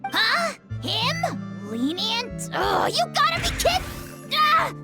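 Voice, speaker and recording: A woman voicing a small, cheeky, childlike fairy companion in a video game, squeaky and exclamatory. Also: wildly swooping pitch, takes it slowly, full character voice. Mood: shocked. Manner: refers to herself in third person, exclamatory, teasing. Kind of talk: English video game dub acting. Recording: music behind the voice. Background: playful game music.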